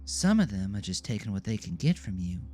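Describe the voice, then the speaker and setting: A man's voice: lowish voice, sing-song, brisk, warm tone, voice pushed up. A man voicing a strict, scowling woman teacher in an audio drama, muttering with a harsh, cynical manner. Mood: sour cynicism. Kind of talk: audio drama character dialogue.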